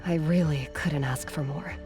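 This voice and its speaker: Lady voicing a caring older-sister type craftswoman in a game, lowish soft voice, modest, music behind. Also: steady tone, moderate pace, natural voice. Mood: apologetic, tender. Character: gentle, caring retired artisan woman, modest. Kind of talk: video game dialogue.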